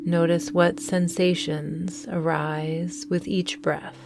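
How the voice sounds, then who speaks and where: A woman guiding a meditation in a gentle, soothing manner, with deliberate phrasing and long pauses. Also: on the low side, little pitch movement, gravelly, over music. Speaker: meditation guide and host. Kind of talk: guided morning meditation.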